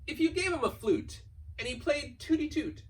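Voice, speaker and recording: A man's voice: extremely high pitch, expressive, normal speed, recorded from a distance, over music, low-quality recording. A man giving a playful, theatrical read-aloud of a children's picture book, stretching key words and racing through piled-up lists. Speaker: school staff member reading a children's book aloud.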